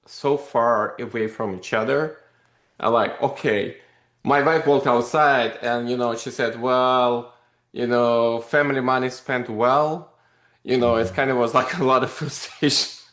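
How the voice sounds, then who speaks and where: Man in an echoey room. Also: mid-range voice. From Russia. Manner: animated, rambling with many fillers. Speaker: podcast guest, company founder. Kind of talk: casual interview storytelling.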